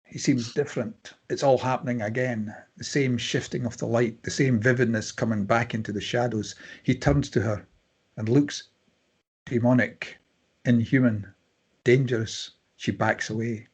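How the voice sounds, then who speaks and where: A man with a slightly husky voice narrating stage directions from a screenplay at a table read. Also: mid-range voice, steady tone, moderate pace, a little mellow.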